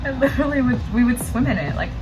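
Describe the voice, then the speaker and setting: A woman's voice: fairly high pitch, lively intonation, fast, poor audio. A young adult American woman telling stories in a casual, playful, slangy chat. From El Paso, Texas, United States. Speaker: makeup vlogger. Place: small indoor makeup studio. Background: music.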